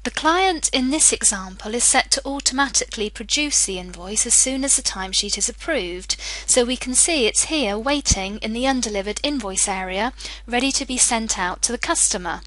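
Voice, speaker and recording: A woman presenting a software demo as a scripted screencast, explaining clearly step by step. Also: a little high, lively intonation, normal speed, no room sound, low-quality recording. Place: voice-over for screen recording.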